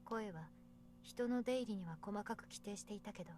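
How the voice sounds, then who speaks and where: A woman's voice: medium pitch, rapid-fire, dark tone, slight background noise, lightly acted. A woman voice-acting a serious, practical young woman reasoning things out in a Japanese visual novel. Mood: calm, serious.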